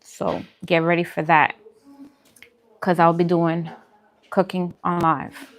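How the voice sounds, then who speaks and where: Casual, chatty woman with a lowish voice. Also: level tone, takes it slowly. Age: adult, parent. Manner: chatty, informal, answers viewers' comments as she goes. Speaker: food vlogger and live streamer. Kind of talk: casual live-stream chat with viewers.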